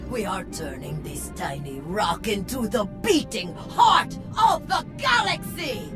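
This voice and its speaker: High-voiced, swooping rebel queen. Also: exaggerated voice. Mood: triumphant. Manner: emphatic, theatrical, deliberate pauses. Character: bold rebel queen and crime boss, rousing a crowd. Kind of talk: grand rallying speech. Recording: music under the voice.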